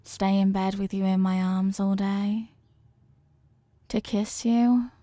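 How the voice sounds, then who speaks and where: A woman's voice: medium pitch, steady tone, slow, dark tone, dry recording, lightly acted. A woman playing an obsessively loving, possessive wife in an intimate ASMR roleplay, coaxing and melodramatic, lingering on her words. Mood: pleading, obsessive.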